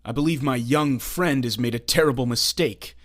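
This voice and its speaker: A man's voice: mid-range voice, normal speed, lightly acted. A man playing a confident, commanding young costumed vigilante in a comic dub, cool, assured and condescending. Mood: calm, commanding. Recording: faint music behind the voice.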